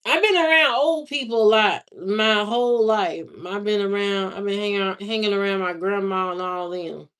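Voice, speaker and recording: A woman hosting a livestream, chatting casually with viewers in a slow, drawling, musing way. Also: mid-range voice, a bit bright, clean tone. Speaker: livestream host. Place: lives in Indiana, not from there.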